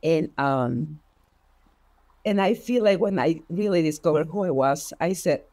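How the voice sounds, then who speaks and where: A woman with a Spanish accent, a native Spanish speaker, telling personal stories as a podcast guest in an expressive, heartfelt way. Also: low-pitched, unhurried, no echo. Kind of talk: personal storytelling in conversation.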